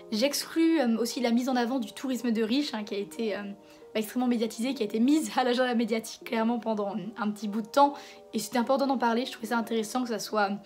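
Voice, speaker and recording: A woman hosts a casual video essay with a hoarse voice, since she has a cold. She speaks a little high and conversationally, often pausing and restarting her sentences. Faint music plays underneath. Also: darkish tone. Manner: conversational, hesitant fillers and restarts.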